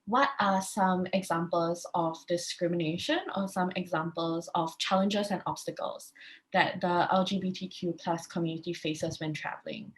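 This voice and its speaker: A woman's voice: fairly low pitch, fairly even intonation, moderate pace, low-quality recording. A woman moderating a webinar panel over a video call, hesitant and often restarting her phrases.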